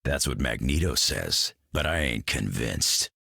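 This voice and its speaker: An actor playing a surly, sardonic mutant brawler in a video game. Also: male voice, rumbling low, animated, measured pace, pushed and bright, dry recording, clean studio sound, exaggerated voice. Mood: gruff. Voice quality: gravelly, growling. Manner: blunt, sardonic drawl.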